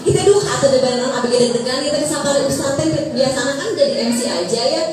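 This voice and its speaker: A woman moderates cheerfully and playfully, reading out a background and putting questions to a speaker. Her voice is somewhat high and echoes strongly through a hall, captured by a distant mic. An audience murmurs behind her. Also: rapid-fire, crowd noise.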